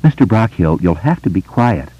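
A man voicing a polite woman librarian with a kindly, gently concerned manner in an audiobook. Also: lowish voice, big pitch swings, fast talker, no room sound, low-quality recording. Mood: kindly firm.

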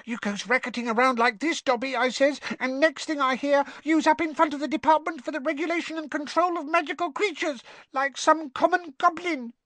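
A man voicing a tiny, timid female house elf servant with a quivering squeak and deferential, broken grammar. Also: steady tone, fairly quick, hardly any pauses, a bit bright, no echo. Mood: scolding. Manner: broken grammar, deferential, constantly says sir.

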